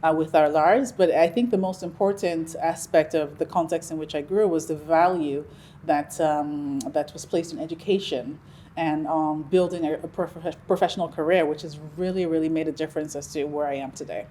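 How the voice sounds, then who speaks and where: A middle-aged woman from Nigeria, a Yoruba speaker, talking about her life in a relaxed, reflective interview, with frequent ums and restarts. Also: sits low, moderate pace, slight background noise. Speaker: interview guest. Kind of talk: conversational interview.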